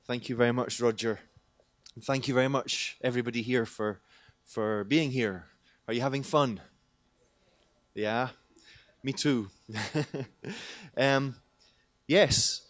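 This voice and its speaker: A man's voice: medium pitch, takes it slowly, long pauses. Chatty male conference presenter. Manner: chatty, jokey, asks the audience questions. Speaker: conference presenter, teacher trainer. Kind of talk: lively conference talk with audience questions. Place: conference hall.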